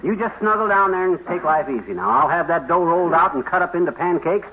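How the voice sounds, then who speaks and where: An actor playing a boastful, bumbling middle-aged husband in a 1950s radio sitcom, blustery and prone to mixing up words. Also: male voice, high-pitched, fast, lightly acted. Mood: eager. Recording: tinny hissy 1950s radio broadcast.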